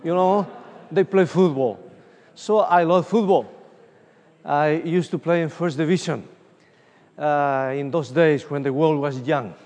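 A man's voice: a little high, measured pace, pauses often. Spanish-accented man preaching in a hall. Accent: Uruguayan Spanish. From Uruguay. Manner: animated, storytelling, frequent fillers. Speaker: evangelist. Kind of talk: conference sermon with personal stories. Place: large conference hall. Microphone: room microphone from a distance. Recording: distant voice with long hall reverb.

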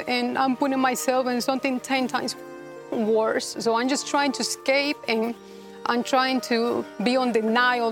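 A woman's voice: sits high, creaky. Earnest woman. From Costa Rica. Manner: halting, earnest, many restarts. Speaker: guest. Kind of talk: personal testimony interview.

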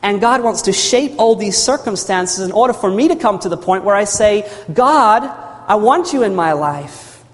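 A man's voice: sits high, lively intonation, normal speed. Earnest preacher man in a reverberant hall. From New Zealand. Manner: earnest storytelling preaching, repeats phrases, calls for amens. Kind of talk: sermon. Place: church hall. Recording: long reverb of a large hall.

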